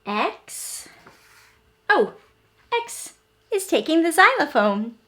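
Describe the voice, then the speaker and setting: A woman hosting an interactive read-aloud for young children, speaking in simple, expressive teacher talk and often pausing to ask the listener questions. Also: sits high.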